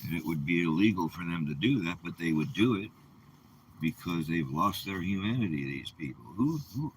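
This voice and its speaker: A man's voice: deep voice, expressive, normal speed, a little hiss or hum, low-quality recording. A man in his seventies delivering an aggrieved personal vlog monologue in an indignant, emphatic way. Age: 70s. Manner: indignant, emphatic, rhetorical questions. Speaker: vlogger.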